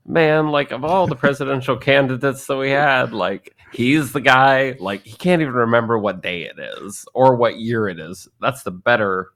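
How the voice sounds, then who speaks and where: A man's voice: medium pitch, moderate pace, few pauses, fairly bright tone. A man in his thirties co-hosting a casual podcast chat, rambling and joking, often acting out the people he quotes. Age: 30s. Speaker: podcast co-host.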